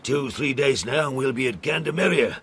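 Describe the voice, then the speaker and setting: An actor playing a rough, complaining sea captain in a radio drama, with colloquial, grumbling speech. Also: male voice, medium pitch, fairly quick, fairly bright tone, a little hiss or hum, natural voice. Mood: plaintive.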